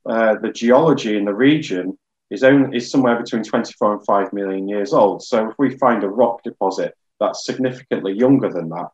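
A man in his thirties explaining science informally and in a measured way, as a guest geologist on a webinar. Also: on the low side, a little mellow, highs cut off. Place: small plain room indoors. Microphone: video call.

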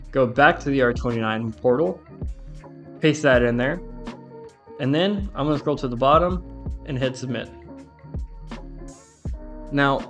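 A man presenting a step-by-step tech tutorial in an informal, conversational instructional manner. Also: medium pitch. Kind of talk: casual step-by-step software walkthrough.

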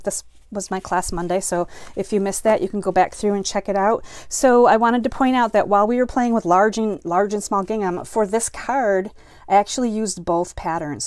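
A woman's voice: medium pitch, a little mellow, dry recording. Chatty woman teaching a card-making class. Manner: chatty, greets viewers while demonstrating. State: foggy-headed, a bit slow today. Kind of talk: live-streamed craft class. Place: craft room, indoors.

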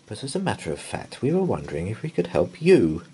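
A man's voice: on the low side, wildly swooping pitch, quick pace, mellow, slight background noise. A man voicing a mild, courteous, slightly nervous married man in a children's storybook.

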